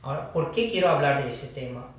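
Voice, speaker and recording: A man who is a Buddhist teacher, giving a dharma talk in a slow, halting manner with pauses and fillers. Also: medium pitch, animated, slight background noise. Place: hall of a foundation. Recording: echoey room, distant mic.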